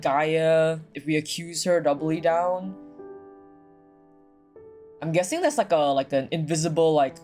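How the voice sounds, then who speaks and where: A streamer talking casually and excitedly through a visual novel, with slangy, sweary commentary. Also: medium pitch. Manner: animated, slangy, profane.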